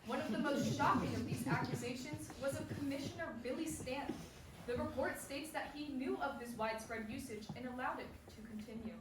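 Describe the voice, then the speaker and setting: A woman's voice: high-pitched, brisk, lightly acted. A woman in a staged play reading playing a dramatic, probing sports commentator and television reporter. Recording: echoey hall, distant mic, faint noise.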